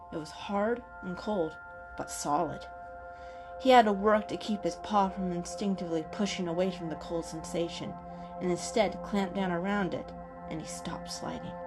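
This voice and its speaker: A woman narrating a children's story as a scripted dramatic reading. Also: medium pitch.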